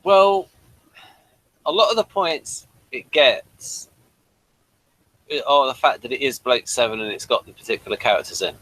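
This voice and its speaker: A man's voice: mid-range voice, sing-song, normal speed, pauses often, pushed and bright, no room sound, rough recording. A man co-hosting a casual review chat, often stumbling and repeating words as he starts a thought. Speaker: podcast co-host.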